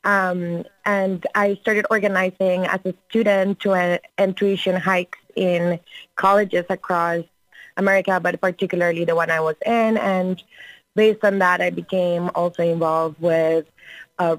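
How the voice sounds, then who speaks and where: A woman's voice: on the low side, dry recording, rough recording. Earnest Colombian-born woman organizer. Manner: earnest, steady, with fillers. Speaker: guest, labor organizer. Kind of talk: interview answers.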